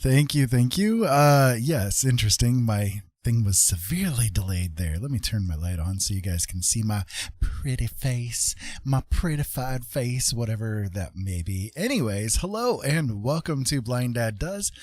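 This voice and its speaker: A man hosting a casual livestream, chatting to viewers in a rambling, playful, husky voice. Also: medium pitch, animated, a little slow, darkish tone, dry recording. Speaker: livestream host. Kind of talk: casual chat to live viewers.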